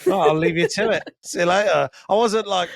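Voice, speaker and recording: A man's voice: high voice, a little fast, creaky, dry recording. A man co-hosting a podcast, telling stories in a blunt, casual way, full of swearing and laughing as he goes. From Indonesia. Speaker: podcast co-host. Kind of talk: casual chat.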